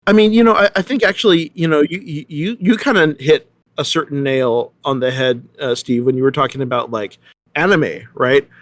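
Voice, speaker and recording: A middle-aged man talks casually in a panel discussion. His voice sits a little high for a man and the sound is dry and close. He circles his point in a halting, rambling way, repeating words as he goes. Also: no echo.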